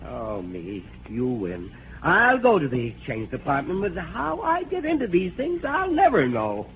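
A hapless married man in an old radio comedy, exasperated and stammering. His highish voice swings widely in pitch. The recording is tinny, with some noise. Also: wildly swooping pitch, unhurried, heavily acted. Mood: sheepish, defeated. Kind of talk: 1940s radio comedy acting.

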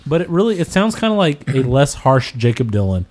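A man's voice: mid-range voice, sing-song, fairly quick, darkish tone. An American man chatting casually on a podcast, giving opinions in an informal, emphatic, slangy way. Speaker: podcast co-host.

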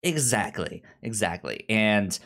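A podcast host in a relaxed book discussion, with a voice of middling pitch. The delivery is halting, with words restarted and syllables repeated in a frequent stammer. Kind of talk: casual book review chat.

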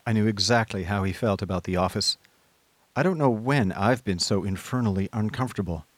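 A man playing a genial, wry young gentleman who narrates his own misadventures in a comic radio drama. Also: on the low side, a little fast, no room sound, close to an everyday voice. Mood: rueful. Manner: anecdotal, understated. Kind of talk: scripted first-person comic narration.

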